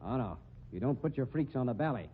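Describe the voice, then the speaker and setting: An actor playing a tough, exasperated carnival boss with an American accent, gruff, slangy and bullying, in 1950s radio drama style. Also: male voice, medium pitch, expressive, fast talker. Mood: grumbling. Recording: tinny, hissy old radio recording.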